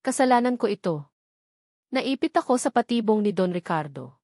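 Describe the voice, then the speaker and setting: A woman's voice: medium pitch, lively intonation, moderate pace, a little mellow, dry recording, clean studio sound. A woman voicing a remorseful, weeping old farmer father who pleads with his daughter in an audio drama. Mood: insistent. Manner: pleading, guilt-ridden.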